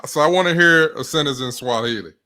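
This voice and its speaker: A man hosting a podcast, asking questions in a relaxed, casual chat. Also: on the high side, quick pace. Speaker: podcast host.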